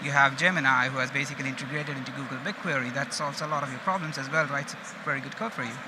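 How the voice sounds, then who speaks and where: A man's voice: medium pitch, fairly even intonation, fast talker. A man from India with an Indian accent gives an informal conference presentation in a conversational way, often checking in with his listeners. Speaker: conference speaker, data engineering manager. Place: open stage at a busy exhibition. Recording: loud background noise of busy event hall. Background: loud ambient event noise.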